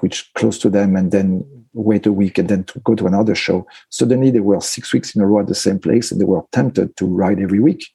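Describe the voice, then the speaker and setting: A man's voice: fairly low pitch, creaky, mellow, slightly rough, dry recording, low-quality recording. A man, a veterinarian, answering interview questions in careful English, thoughtful and full of fillers. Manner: thoughtful, non-native phrasing with frequent fillers. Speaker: equine veterinarian guest.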